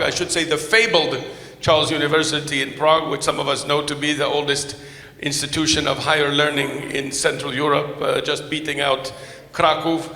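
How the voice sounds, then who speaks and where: A man whose first language is Polish, moderating a panel in English, formal and courteous, halting with many fillers and restarts. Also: fairly high pitch, pushed and bright. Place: large conference hall with podium. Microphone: microphone. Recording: echoey hall with long reverb.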